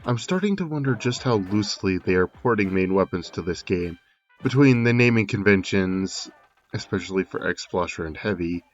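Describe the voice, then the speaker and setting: Low-voiced man narrating, slightly muffled, over music. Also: animated, normal speed. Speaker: video creator narrating. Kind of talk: scripted game analysis narration.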